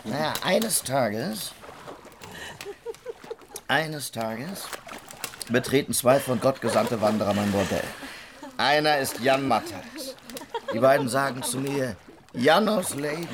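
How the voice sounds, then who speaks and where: An actor in a radio drama playing a boastful, lewd Dutch brothel keeper who tells stories in a theatrical, bragging way. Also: male voice, mid-range voice, lively intonation, slow, long pauses, background noise. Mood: self-satisfied, bragging. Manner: theatrical, bragging, chuckling storyteller.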